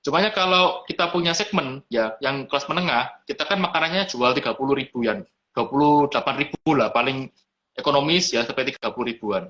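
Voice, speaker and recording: A man's voice: medium pitch, fairly quick, pushed and bright. Easygoing man. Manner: easygoing, mixes in English business words. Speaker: restaurant owner, guest. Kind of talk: casual interview chat. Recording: lo-fi live stream audio.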